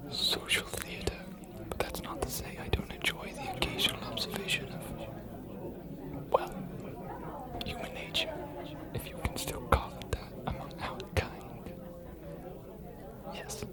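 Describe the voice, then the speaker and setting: A man's voice: mid-range voice, wildly swooping pitch. Man playing an aloof, whispering scholarly vampire. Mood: aloof, musing. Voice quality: breathy whisper. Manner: measured, formal, musing, hesitant pauses. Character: aloof scholarly male vampire, ancient, courteous and intrigued. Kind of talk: whispered ASMR roleplay.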